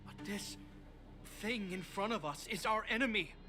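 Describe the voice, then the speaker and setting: A man voicing a protective young soldier in a video game, earnest and measured, with a reassuring manner. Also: high-pitched, animated. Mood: urgent, pleading. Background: game music.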